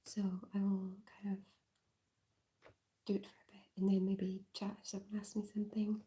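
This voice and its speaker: A woman with a softened Northern Irish accent, whispering in a relaxed ASMR livestream and rambling with plenty of fillers. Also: medium pitch, a little hiss or hum. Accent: Northern Irish, softened and mixed. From Northern Ireland. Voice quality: soft whisper. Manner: halting, rambling, with many fillers.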